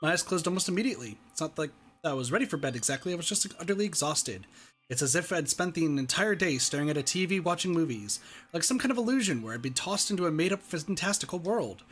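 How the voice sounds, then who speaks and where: A man's voice: fairly high pitch, expressive, quick pace, a bit bright. A man reading visual novel narration aloud as an ordinary, earnest teenage schoolboy thinking to himself. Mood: weary, resigned.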